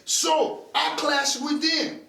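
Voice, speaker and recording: Podcast guest, man from Nigeria, emphatic, high pitch, far from the mic. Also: flat delivery, slow, creaky, sharp tone. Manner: blunt, profane, emphatic. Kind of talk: casual chat. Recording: echoey room, distant mic.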